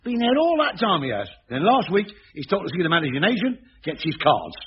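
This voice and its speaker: A matey, gossipy workman who confides with wry asides, his voice animated and a touch high. The old broadcast sounds dull and muffled. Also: fairly quick, a little hiss or hum. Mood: confiding. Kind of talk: 1980s radio sitcom acting.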